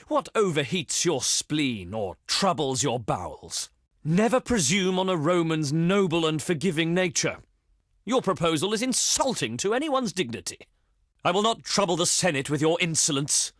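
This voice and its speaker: A man's voice: a little high, fairly bright tone, dry recording, clean studio sound. A man playing a proud, easily offended Roman envoy in a video game, with pompous, formal, oratorical delivery. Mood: indignant, scornful. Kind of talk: theatrical video game diplomacy voice lines.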